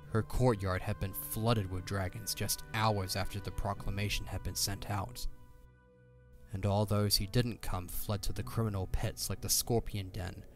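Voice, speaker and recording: A man's voice: fairly low pitch, flat delivery, moderate pace. A man reading scripted, dramatic third-person story narration over music. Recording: background music under the voice.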